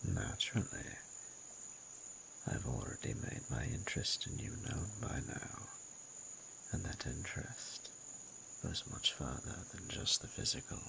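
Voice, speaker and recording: A man voicing a flirtatious, possessive yet respectful dragon with a very deep, gravelly voice and formal, archaic, teasing diction in a romantic audio roleplay. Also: pushed and bright, slight background noise, heavily acted, low-quality recording. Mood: earnest, gentle. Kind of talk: scripted romantic audio roleplay, intimate monologue.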